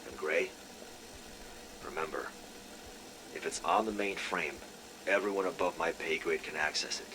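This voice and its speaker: A man's voice: low voice, normal speed, noisy background, close to an everyday voice. A man playing a cold, authoritative superior officer, curt and controlled, in dramatic video game dialogue. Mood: cold warning.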